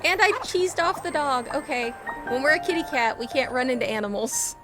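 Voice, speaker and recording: A woman's voice: sits high, lively intonation, a little fast. A woman giving casual, playful, reactive commentary as she streams a game. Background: soft game music.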